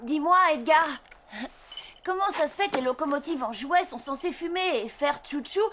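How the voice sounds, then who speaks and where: An earnest little boy in a children's cartoon. The voice is high and boyish, with an emphatic, sincere way of putting things as Santa's young apprentice. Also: lively intonation, normal speed, noisy background. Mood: curious, cheeky. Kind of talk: French animated children's cartoon dubbing.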